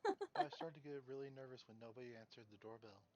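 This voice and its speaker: A performer reading the part of a shy, polite teenage girl in a casual staged reading, speaking hesitantly with halting fillers. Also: medium pitch, huge pitch swings, a little mellow, lo-fi sound, a little hiss or hum. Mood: timid polite. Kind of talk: casual script read-aloud.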